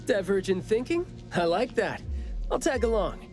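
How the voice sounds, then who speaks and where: A man's voice: sits high, very animated, normal speed, a little mellow, faint music behind. An actor playing a glib, analytical young male investigator with a teasing wit in a video game. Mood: thoughtful, breezy. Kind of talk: English video game voice acting.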